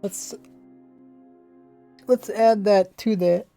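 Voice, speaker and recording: Give me a mellow, slightly mumbling male streamer with a faint accent, chatting loosely over quiet background music. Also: high-pitched, steady tone, very slow, gravelly. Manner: rambling, admits mumbling and lazy pronunciation. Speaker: Minecraft streamer. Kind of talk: casual livestream chat while playing.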